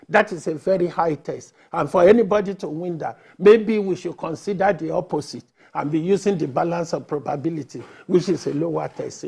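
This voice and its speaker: A man's voice: a little high, gravelly, a little mellow, slightly rough. A man speaking as a lawyer on a discussion panel, explaining his points. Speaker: lawyer guest.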